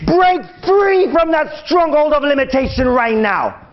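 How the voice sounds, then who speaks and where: A man's voice: very high-pitched, lively intonation, measured pace, slight background noise. A man leading a fervent prayer, declaring release from limitation with repeated, commanding phrases. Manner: repetitive commanding declarations, rising to shouts. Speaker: preacher. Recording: dull lo-fi sound.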